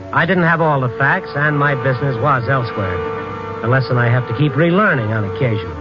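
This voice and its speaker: A man's voice: mid-range voice, close to an everyday voice. A man acting a world-weary, easygoing investigator who narrates his own tale in old-time radio drama, wry and colloquial. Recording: muffled vintage radio recording.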